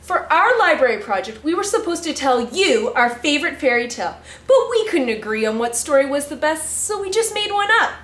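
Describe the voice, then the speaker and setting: A woman's voice: high voice, big pitch swings, fairly quick, few pauses, a bit bright. A woman reading a picture book aloud in character, with girlish, dramatic expression and exaggerated reactions. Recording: roomy echo with faint music.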